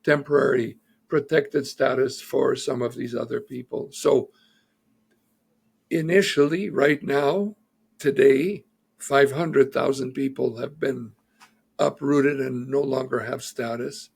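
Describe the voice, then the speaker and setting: Husky, gravelly male immigration lawyer. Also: mid-range voice, fairly even intonation, takes it slowly. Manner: methodical, cites figures and reports. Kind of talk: explaining legal news in an interview.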